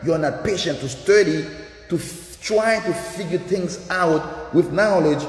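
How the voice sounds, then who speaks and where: A man's voice: fairly high pitch, unhurried. A man with a Haitian accent, a native Creole speaker, giving an emphatic, repetitive, preaching motivational talk on a live stream. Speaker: dance instructor. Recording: echoey reverberant room.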